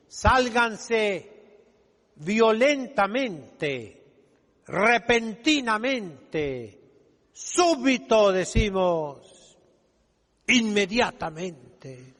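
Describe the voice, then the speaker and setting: Older male preacher, extremely slow delivery, emphatic scolding homily, long church reverb. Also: high voice, animated, a bit bright. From Mexico. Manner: emphatic, scolding, deliberate with dramatic pauses. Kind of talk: fiery homily, exhorting the congregation. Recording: echoing hall with long reverb.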